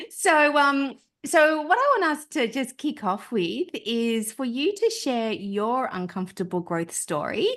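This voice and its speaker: A woman's voice: on the high side, fairly bright tone. Woman hosting an interview podcast. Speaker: podcast host.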